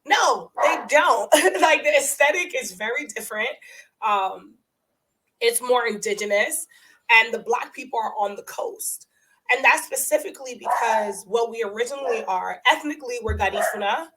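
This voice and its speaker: A young adult woman dentist, raised in the United States with family from Guatemala, talking as a podcast guest and telling stories in an animated way, laughing often and acting out what others said. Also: on the high side, normal speed, low-quality recording. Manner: animated storytelling, laughs often, acts out quoted voices. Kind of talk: casual conversational interview.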